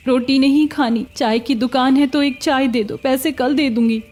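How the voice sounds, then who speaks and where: A woman acting as a hungry young beggar woman in a cartoon story. Her voice is high and pleading, yet she has a sharp tongue and answers back readily. Music plays behind her. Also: fairly even intonation, normal speed. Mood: sharp, cheeky.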